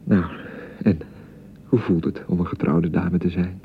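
An actor in a radio play playing an awkward young bridegroom eager to reassure, halting and repeating himself, with a Netherlands Dutch accent. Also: male voice, deep voice, steady tone, slight background noise. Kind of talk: naturalistic radio play dialogue. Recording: thin phone-like radio broadcast sound.

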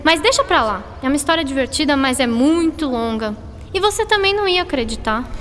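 A reverberant, noisy radio drama recording features a chatty schoolgirl with a high, girlish voice. She is curious and easily puzzled, speaking informally and expressively. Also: animated, brisk, a bit bright. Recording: long reverb, clear background noise.

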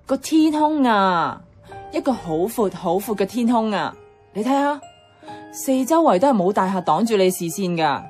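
A woman's voice: mid-range voice, sing-song, moderate pace, darkish tone, faint music behind, natural voice. An actress playing a nostalgic, adventurous young woman in a radio drama, chatting with a Hong Kong Cantonese accent. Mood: excited wonder. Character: nostalgic, playful, adventurous young woman revisiting her childhood neighbourhood.

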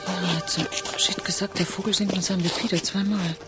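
A woman's voice: fairly low pitch, fairly even intonation, fast talker, over music, lightly acted. An actress playing an earnest, anxious, romantic woman in a German radio drama. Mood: urgent, anxious. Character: earnest, troubled, romantic woman obsessed with secret messages.

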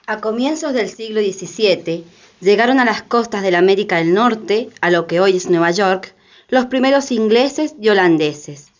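Medium-pitched, muffled woman narrator. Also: normal speed. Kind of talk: scripted historical narration. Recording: slightly muffled, faint music behind.

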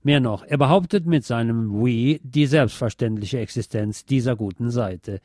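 A man's voice: mid-range voice, normal speed, a little mellow, dry recording, studio quality. A man reading a scripted political and music commentary as a presenter.

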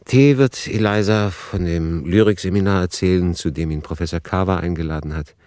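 Male radio play narrator: deep register, factual, analytical, no room sound. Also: moderate pace, darkish tone, no echo, studio quality.

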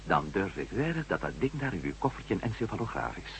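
A sharp, curious reporter in an old radio play. His low voice swings very widely in pitch as he politely presses his points. The recording hums with noise. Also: sing-song, fast. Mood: eager, insistent. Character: inquisitive, quick-witted male reporter and adventurer. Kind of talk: Dutch radio drama acting.

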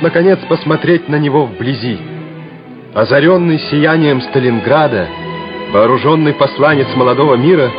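A man narrating a Soviet war radio play in a measured, solemn, declamatory manner. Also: a little high, lightly acted. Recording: dull vintage radio play recording, loud music. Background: music.

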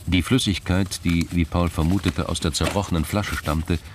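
Extremely deep-voiced male narrator. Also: steady tone, moderate pace, background noise. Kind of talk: scripted narration of a radio crime drama.

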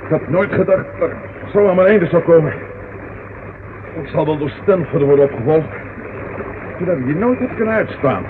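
A man's voice: a little high, expressive, normal speed, pauses often, natural voice. A male actor playing a weary, grumbling policeman in a radio drama, plaintive in manner. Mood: despairing, strained. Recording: tinny old radio drama recording, noisy.

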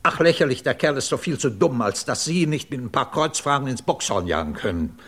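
An actor playing a proud, blunt head of a research institute in a 1950s German radio drama, with a husky voice and formal, emotional delivery. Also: male voice, medium pitch, lively intonation, brisk, fairly bright tone. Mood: indignant, scornful.

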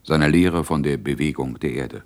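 A very deep-voiced sober narrator. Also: male voice, moderate pace. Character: sober male chronicler narrating events. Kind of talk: scripted radio play narration.

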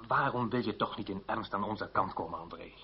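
An actor playing a confident, wry French revolutionary deputy in a radio play. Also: male voice, fairly low pitch, brisk. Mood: wry, persuasive. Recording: dull, husky old radio play recording.

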